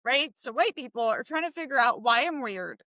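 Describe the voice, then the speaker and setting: A woman's voice: sits high, animated, normal speed, bright, forceful tone, dry recording, clean studio sound. A woman from Brazil, a podcast co-host and author, explaining things emphatically in casual conversation. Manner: emphatic, explains and argues in long stretches.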